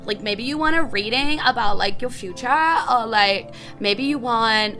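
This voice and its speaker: A woman's voice: on the high side, moderate pace, sharp tone, soft background music. A woman playing a gushing, airheaded young fortune-telling shopkeeper in a tabletop game, with a valley-girl drawl full of filler words. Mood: eager.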